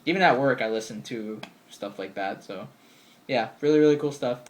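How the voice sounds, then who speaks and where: A man's voice: mid-range voice, moderate pace. Man, 20, channel host; easygoing chatty Q&A delivery, filler-heavy, mid-range voice. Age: 20s. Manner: chatty, upbeat, lots of ums and likes. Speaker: channel host answering viewer questions. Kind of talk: casual Q&A vlog. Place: bedroom with game shelves.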